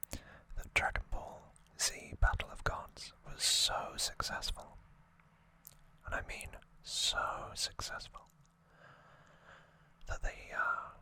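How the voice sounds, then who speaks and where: An ASMR host whispering a trivia summary in a breathy, unvoiced, hushed and measured way. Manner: slow, hushed, measured delivery.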